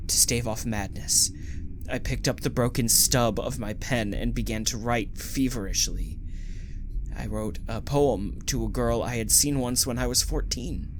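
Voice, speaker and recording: A man's voice: medium pitch, a little slow, noisy background, natural voice. A man playing a desperate condemned poet in a dystopian audio drama, with an intense, dramatic delivery. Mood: feverish recounting. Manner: intense, pleading, stammering when distressed. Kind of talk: dramatic audio drama acting with first-person narration.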